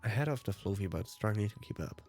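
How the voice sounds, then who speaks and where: Brief: male let's play host, native German, fairly deep voice, narrating a visual novel over music. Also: expressive, fast talker, darkish tone. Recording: music behind the voice.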